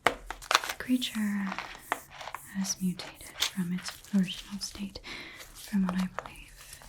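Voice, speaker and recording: A woman doing soft-spoken ASMR roleplay as a scientist dictating examination notes, methodical and murmuring to herself. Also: mid-range voice, little pitch movement, slow pace, low-quality recording. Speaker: ASMR performer.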